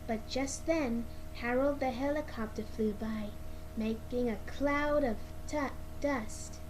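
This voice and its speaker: A woman reading a children's storybook aloud as narrator, in a slightly halting, amateur way. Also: a little high. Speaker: read-along narrator. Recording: clearly noisy amateur recording.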